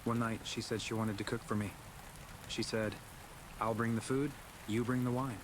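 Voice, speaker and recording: A man's voice: medium pitch, flat delivery, moderate pace, close to an everyday voice. A man playing an anxious, introspective man in a game, speaking confidingly in a reflective first-person monologue. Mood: wistful, fond. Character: Adam, anxious introspective man narrating his troubled life. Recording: clear rain noise behind.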